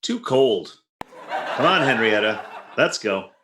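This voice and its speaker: A man's voice: medium pitch, sing-song, takes it slowly, roomy sound, noisy background. A man playing an exasperated, grumbling husband with dry, sarcastic delivery in an old-time radio comedy. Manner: dry, sarcastic, put-upon.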